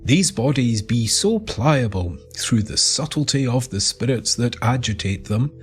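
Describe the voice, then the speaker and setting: A man with a Scottish accent reading a scripted, atmospheric narration in a measured way with deliberate dramatic pauses. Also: mid-range voice, animated. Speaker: writer and narrator of the show. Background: music bed.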